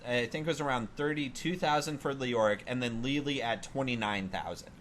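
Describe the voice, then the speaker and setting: A man co-hosts a gaming podcast in a casual, conversational way. His voice sits at a medium pitch. There is some background noise on the amateur recording. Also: a little fast, fairly bright tone. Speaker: podcast host.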